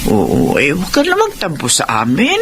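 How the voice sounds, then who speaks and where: A woman's voice: sits low, huge pitch swings, normal speed, a bit bright, a little hiss or hum, full character voice. A woman playing a tender, apologetic elderly Filipino grandmother in a family drama, hesitant and sighing as she coaxes. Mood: gentle, apologetic. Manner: coaxing, sighing, hesitant with filler sounds. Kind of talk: dramatized radio-style family drama acting.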